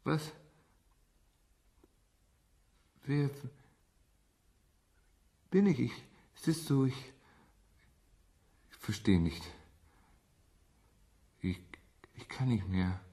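An actor playing a male test subject in a radio drama, expressive and halting. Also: mid-range voice. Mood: confused, weak. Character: flippant male test subject, turned helpless victim. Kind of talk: German radio drama acting.

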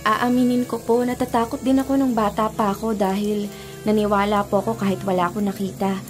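A woman's voice: mid-range voice, little pitch movement, a little fast, over music, lightly acted. A young woman acting as a polite, anxious student in a Filipino radio drama. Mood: polite, concerned. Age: young adult. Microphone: studio mic.

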